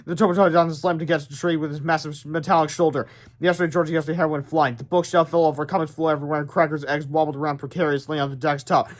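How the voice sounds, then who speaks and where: Higher-pitched man reading a children's story aloud. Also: little pitch movement, fast talker. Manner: dramatic storytelling, stumbles over words. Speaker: read-aloud narrator. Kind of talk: animated children's book read-aloud with asides.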